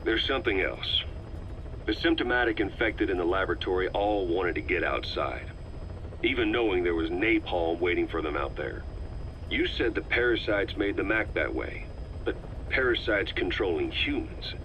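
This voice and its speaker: A hardened commander with a very deep, gruff voice. He questions tersely and sceptically in a game drama, over faint background noise on the tape. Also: animated, normal speed, highs cut off. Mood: probing. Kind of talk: video game audio drama acting.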